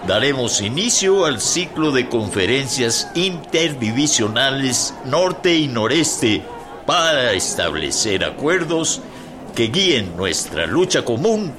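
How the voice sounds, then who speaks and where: An actor playing a solemn officer formally opening a revolutionary conference in a radio drama. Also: male voice, mid-range voice, big pitch swings, takes it slowly, crowd noise, noisy background. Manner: solemn, oratorical.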